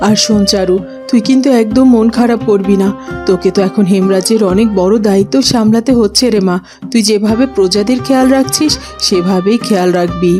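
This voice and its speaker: A woman's voice: medium pitch, brisk, lightly acted. Woman as a tender middle-aged cartoon queen mother. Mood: consoling. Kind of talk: cartoon voice acting. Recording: music behind the voice.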